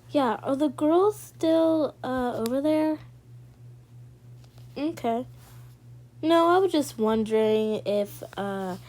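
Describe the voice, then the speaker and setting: A woman's voice: on the high side, warm tone. A woman doing casual role-play narration for a video, informally talking through each small step. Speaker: video creator.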